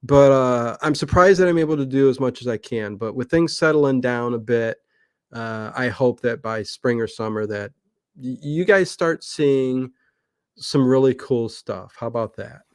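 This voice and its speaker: A man's voice: medium pitch, a little slow, clean tone, dry recording, studio quality. A man talking casually as a guest on a livestream interview, rambling and restarting his sentences. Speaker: guest, online old-time radio channel creator.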